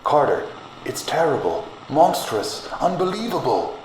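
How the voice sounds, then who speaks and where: A man voicing a terrified, despairing man who urgently warns his friend in a hoarse, strained voice, in a horror audiobook. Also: fairly high pitch, big pitch swings, voice pushed up. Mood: horrified. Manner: urgent, halting pleas. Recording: echoey, noisy distant-sounding line.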